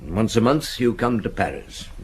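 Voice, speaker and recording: An actor playing a shrewd, patient chief inspector in a radio drama, with methodical, dry questioning punctuated by hesitant hums. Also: male voice, on the low side, very animated, moderate pace, a little mellow, slight background noise. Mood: probing, methodical.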